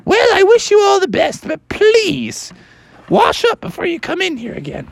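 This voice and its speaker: A man voicing a fussy, scolding woman librarian in a bedtime story, high and prim. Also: huge pitch swings, moderate pace, gravelly, no echo, full character voice.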